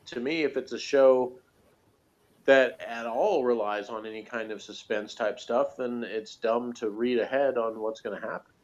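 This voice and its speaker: A man's voice: medium pitch, moderate pace, dull audio. A man co-hosting a TV review show in casual discussion, explaining things in a rambling way.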